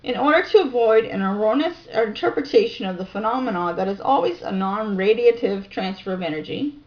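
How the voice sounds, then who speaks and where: A woman's voice: mid-range voice, expressive. Woman reading science aloud, dull lo-fi recording. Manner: halting over technical terms, self-correcting. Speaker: video narrator. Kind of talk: reading scientific text aloud with informal asides. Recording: dull lo-fi sound.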